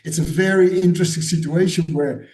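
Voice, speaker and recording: A man's voice: sits high, fairly even intonation, a little fast, warm tone. A Dutch-born man in his sixties, a guest author and educator, answering interview questions thoughtfully and often repeating words as he searches for phrasing. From Netherlands. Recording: roomy echo.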